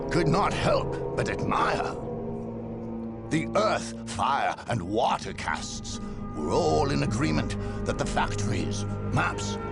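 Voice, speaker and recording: Gravelly, grand war chronicler. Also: male voice, medium pitch, exaggerated voice. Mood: solemn, measured. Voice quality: gravelly, husky. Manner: grand, solemn, theatrical. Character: grave male chronicler of a far-future war. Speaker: narrator of game interludes. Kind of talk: dramatic scripted narration. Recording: music behind the voice.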